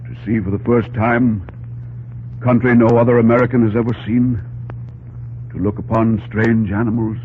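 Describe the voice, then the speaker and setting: An actor playing a visionary, wistful president in an old-time radio drama, musing and stately. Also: male voice, lowish voice, flat delivery, moderate pace, pauses often, natural voice. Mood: wistful wonder. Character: visionary, wistful middle-aged American president. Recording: muffled, hissy old radio recording.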